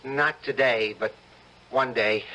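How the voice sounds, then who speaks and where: An actor playing a desperate young defendant, stammering and pleading, in old-time radio drama style. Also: male voice, mid-range voice, slow, sharp tone, low-quality recording. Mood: bitter, resolute.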